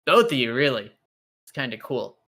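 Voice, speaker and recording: Mid-pitched man musing in roleplay. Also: lively intonation, normal speed, sharp tone. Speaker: player in a tabletop roleplay. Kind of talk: casual in-character roleplay chat.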